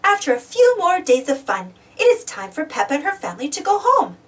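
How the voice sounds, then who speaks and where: A woman reading a children's storybook aloud in an animated, expressive way. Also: high voice, a little fast, far from the mic. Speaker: storybook reader, channel host. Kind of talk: children's read-aloud narration.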